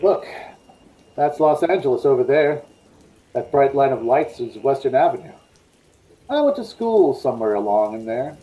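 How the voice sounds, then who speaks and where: An actor playing an unrepentant, menacing escaped madman in old-time radio suspense style, speaking in a halting, deliberate way. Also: mid-range voice, very animated, warm tone, slight background noise, lightly acted, low-quality recording. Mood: wistful, brooding. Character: escaped insane male killer, brooding, unrepentant, menacing. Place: indoor room at home, on a video call.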